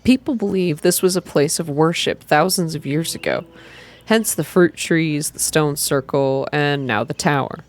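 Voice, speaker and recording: A woman voicing a solemn, mystical male forest activist in a story reading, with measured delivery. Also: deep voice. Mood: reverent. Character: grave, mystical man, passionate forest activist.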